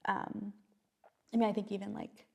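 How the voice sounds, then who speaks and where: Soft-spoken woman with vocal fry. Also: medium pitch, little pitch movement, normal speed. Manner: hesitant and reflective, many fillers and restarts. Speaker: playwright guest. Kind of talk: casual podcast conversation.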